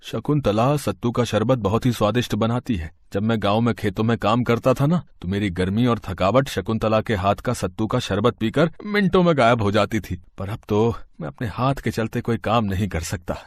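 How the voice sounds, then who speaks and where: A man's voice: fairly low pitch, normal speed, hardly any pauses, no echo, lightly acted. A man playing a poor, weary farm labourer and family man in a moral story, earnest and plaintive. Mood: grateful, wistful. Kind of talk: Hindi moral story voice acting.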